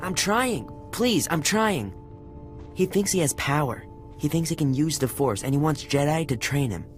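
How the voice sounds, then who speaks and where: Man playing a halting, earnest young captive villager. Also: a little high, expressive, natural voice. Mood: anxious, pleading. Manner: halting, pleading. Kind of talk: video game character acting. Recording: game music behind voice.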